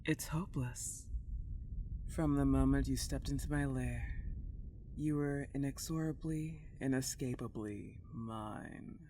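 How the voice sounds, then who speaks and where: A woman playing a dark, possessive older vampire countess in an intimate ASMR roleplay, teasing and condescending as she lingers on her words. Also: a bass voice, slow, a little hiss or hum. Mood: possessive, soothing.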